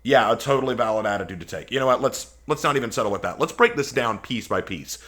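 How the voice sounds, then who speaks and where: A man's voice: mid-range voice, animated, fast, fairly bright tone. A man giving casual, opinionated video commentary, arguing emphatically with sarcasm and incredulous rhetorical questions. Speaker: video commentator hosting a response video.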